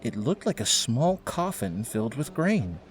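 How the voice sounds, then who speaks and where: A man's voice: medium pitch, sing-song, unhurried. A man reading scripted narration for an audio drama, in a storytelling style. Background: faint music.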